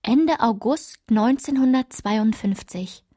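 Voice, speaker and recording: A woman performing a radio play's literary narration as someone looking back on her girlhood, in a measured, descriptive way. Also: on the high side, warm tone. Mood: reflective, measured. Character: reflective woman recalling her girlhood through old photographs.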